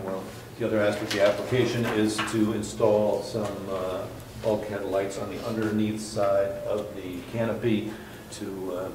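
A man, an architect, presenting his project at a public hearing, halting with many fillers and restarts, recorded from afar in a large conference room. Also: on the low side, recorded from a distance. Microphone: far room mic. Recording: echoey room from afar, background noise.

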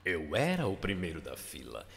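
A sly, bitter cartoon lion villain with Brazilian speech. The voice is fairly low, languid and sardonic, with lively swings, in long reverb. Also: male voice, normal speed, vocal fry, full character voice. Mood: mock-innocent sarcasm. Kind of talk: cartoon fan dub.

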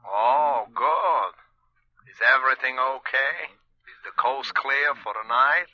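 An actor playing a matter-of-fact, callous hired killer in an old radio play, with an American accent, clipped and casual, heard through a telephone filter. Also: male voice, mid-range voice, slow pace. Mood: businesslike. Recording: muffled old radio, phone-line sound.

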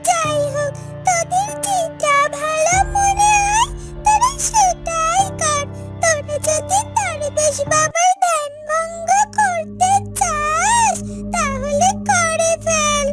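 A tiny, anxious cartoon bird with an extremely high, squeaky pitch. The bird pleads slowly, stretching out its words, over prominent music. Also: female voice. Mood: tearful, resigned. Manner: slow, drawn-out, pleading. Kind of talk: children's cartoon voice acting. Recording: music under the voice.

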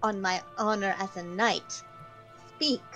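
Woman playing a measured, earnest male knight. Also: mid-range voice, fairly bright tone, soft background music. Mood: stern. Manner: formal, measured, courtly. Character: stern, earnest male knight questioning and advising. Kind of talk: amateur video game dub.

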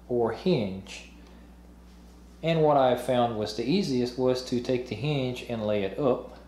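Young male host, mellow tone, plain step-by-step how-to read. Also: mid-range voice, slight background noise. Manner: plain, matter-of-fact explaining. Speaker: host of a review channel. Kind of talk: instructional product assembly voice-over.

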